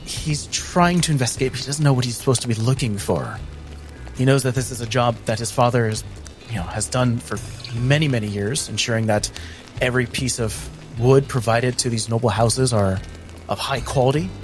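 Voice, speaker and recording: A man's voice: mid-range voice, a little fast, soft background music. A man playing a tabletop game, improvising third-person narration of his character in a thoughtful, searching way.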